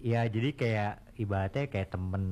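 A man with a Jakarta Indonesian accent answering casually as an interview guest, colloquial and halting, often repeating himself. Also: on the low side, darkish tone. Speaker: interview guest, rapper and songwriter. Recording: clearly noisy background.

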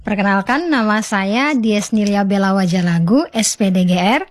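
A woman in her twenties with an eastern Indonesian accent gives interview answers on radio. Her pitch is average and she speaks politely and in a measured way. The sound is very dry. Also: no room sound. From West Sumba, Indonesia. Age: 20s. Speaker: school principal, interview guest. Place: radio studio.

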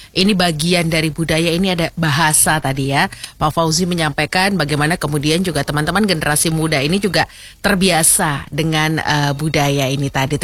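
A woman's voice: deep voice, fairly bright tone. A woman hosting a radio talk programme, summing up and addressing listeners from a studio microphone. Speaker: radio host.

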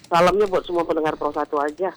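A woman's voice: sits low, steady tone, fast, low-quality recording. Stuffy, nasal woman caller with a cough. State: has a cough, congested. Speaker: radio phone-in caller. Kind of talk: casual chat.

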